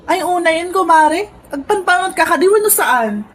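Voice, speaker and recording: High, plaintive Ilocano friend. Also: female voice, expressive, moderate pace, fairly bright tone. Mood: worried, pleading. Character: concerned, pleading woman friend and co-worker. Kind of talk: Ilocano comedy radio drama acting.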